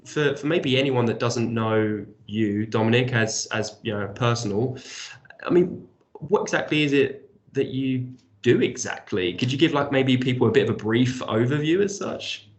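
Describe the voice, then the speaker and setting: A man's voice: mid-range voice, moderate pace. Chatty man host with a cold. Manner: rambling, repeats himself, many fillers. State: has a cold. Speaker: podcast host. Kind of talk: casual interview chat.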